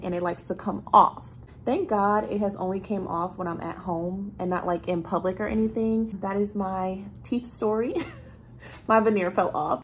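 A young woman in her twenties chatting casually to a phone camera in her apartment, telling stories with an animated, chatty manner and sounding a little congested. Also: mid-range voice, slight background noise. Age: 20s. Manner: chatty, animated storytelling with laughs. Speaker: vlogger. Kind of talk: casual vlog chat to camera. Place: bright apartment room with ceiling fan. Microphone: phone camera held low, close.

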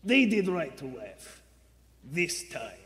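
A man playing a cold, scornful spy boss with deliberate delivery in a radio play. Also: on the high side, big pitch swings, fairly bright tone, heavily acted. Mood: scornful, menacing. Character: Vladimir, cold, menacing male spy boss. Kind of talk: vintage radio play acting. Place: theater stage before a live audience. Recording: echoey theater stage.

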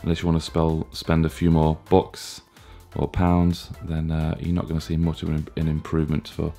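A man in his thirties with a chesty, hoarse cold voice, casually reviewing a gadget with plenty of fillers and restarts. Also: rumbling low, normal speed, soft background music. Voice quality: gravelly. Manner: relaxed, plain talk with frequent fillers and restarts. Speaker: tech reviewer.

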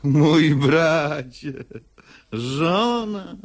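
An actor playing a sanctimonious, scheming hypocrite who feigns wounded humility in unctuous, martyred verse in a radio comedy. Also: male voice, a little high, expressive, very slow, heavily acted.

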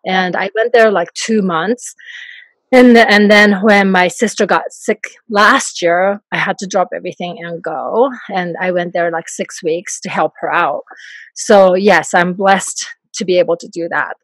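A middle-aged woman from Japan with a Japanese accent, speaking softly as a podcast guest and laughing often as she talks. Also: on the low side, unhurried, no room sound. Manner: soft-spoken, laughs often while talking. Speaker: guest, life coach. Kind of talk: podcast interview.

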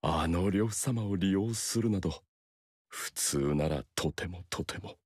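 Humble, polite game retainer; lowish, formal, dry studio. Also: male voice, unhurried, a little mellow, slightly rough, no room sound. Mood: courteous. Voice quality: courteous, formal. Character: polite loyal male retainer, courteous and humble. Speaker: game character. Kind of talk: game dialogue.

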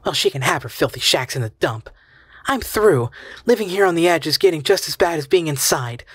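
Fraught middle-aged wife, high and hysterical, read by a male narrator. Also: lively intonation, a little fast, no room sound, clean studio sound. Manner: rising hysterical pitch. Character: desperate weary middle-aged wife, shrill.

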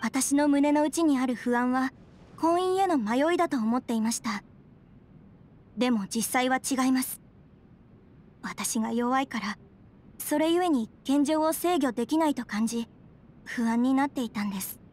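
A woman's voice: high-pitched, moderate pace, long pauses, a little mellow, natural voice. An actress playing an earnest, dignified young noblewoman in an anime game, speaking politely and formally. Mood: reflective, uneasy. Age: young adult. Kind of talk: Japanese anime game voice acting.

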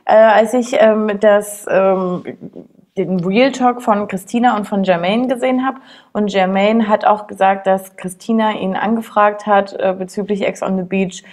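A woman's voice: mid-range voice, fairly even intonation, moderate pace, darkish tone. A woman in her twenties co-hosting a show, talking informally and with firm opinions in slangy language. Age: 20s. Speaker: co-host of an online show. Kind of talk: casual chatty discussion of reality TV. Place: small indoor room at a desk.

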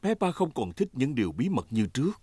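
A man narrating a children's cartoon from a script in an expressive storytelling way. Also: mid-range voice, brisk, slightly rough, no room sound. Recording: music behind the voice.

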